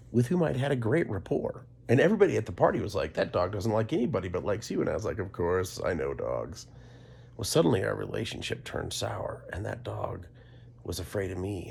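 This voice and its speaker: A man's voice: lowish voice, gravelly, a little mellow, a little hiss or hum. A middle-aged American man telling a casual comedic personal story in a dramatic, self-deprecating way, sometimes dropping to whispery asides.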